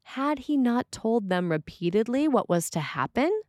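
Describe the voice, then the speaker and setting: A woman reading a scripted sermon as a preacher, with measured, deliberate phrasing. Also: on the high side, very animated, a little mellow, no room sound, studio quality.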